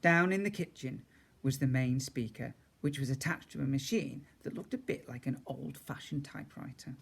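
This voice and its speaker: Expressive man reading a children's storybook. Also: mid-range voice, moderate pace, vocal fry, breathy edge. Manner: expressive, chatty asides to young listeners. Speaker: teacher reading to young children.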